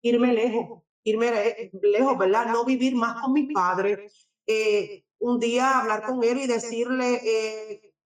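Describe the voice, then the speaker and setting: A middle-aged woman from Puerto Rico with a Puerto Rican accent, giving a halting religious testimony that is full of fillers as an interview guest. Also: a little high, steady tone, distant mic. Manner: halting, often tags sentences with a questioning filler. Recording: echoey room, speaker away from the mic.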